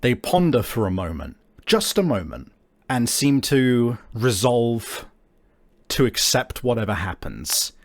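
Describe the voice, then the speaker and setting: Slow, halting male game master. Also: mid-range voice, very animated. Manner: halting, searching for words. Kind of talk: improvised tabletop game narration.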